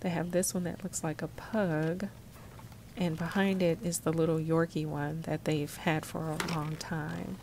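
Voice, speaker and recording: A woman vlogger giving a casual, unhurried, descriptive narration of what she is showing while shopping. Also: deep voice, level tone, a little mellow, slight background noise.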